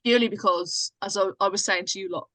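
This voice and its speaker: Woman, medium pitch, dry recording, casual chat, informal explanations. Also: steady tone, quick pace, bright, forceful tone, no echo, poor audio. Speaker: podcast guest, writer and actress.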